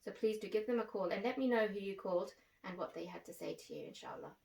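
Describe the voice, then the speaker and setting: A woman speaks as the host of a children's religious programme, giving simple step-by-step instructions at a medium pitch. Her voice sits back from the microphone in a noticeably roomy, echoey space. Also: wildly swooping pitch, fast, darkish tone. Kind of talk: instructional talk addressed to children. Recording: roomy echo, distant microphone.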